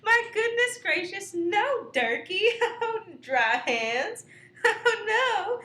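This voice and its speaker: High, fluttery belle. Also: female voice, big pitch swings, slow pace, bright, forceful tone, heavily acted. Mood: flustered. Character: coy, fluttery Southern belle of faded elegance. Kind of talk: satirical radio play acting. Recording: echoey room with faint noise.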